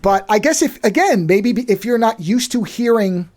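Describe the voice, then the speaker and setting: A man's voice: sits high, lively intonation, normal speed, a little mellow. A man with a New York accent, co-hosting a podcast in casual chat, blunt and swearing freely. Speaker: podcast co-host.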